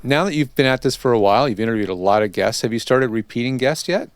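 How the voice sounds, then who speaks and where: A man's voice: medium pitch, fast, clean tone, no echo. A man hosting a podcast in casual interview chat, explaining things in a chatty way. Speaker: podcast host and audio tech interviewer.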